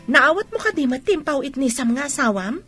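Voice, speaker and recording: A woman's voice: high voice, very animated, brisk, a bit bright, soft background music. A woman playing a curious, nosy friend in a comic radio drama, asking question after question.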